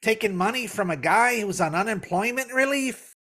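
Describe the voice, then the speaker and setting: A man's voice: high voice, lively intonation, moderate pace, noisy background. A man playing a gullible, out-of-work pool buddy in a 1950s-style radio comedy, the wide-eyed straight man. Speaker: radio play actor.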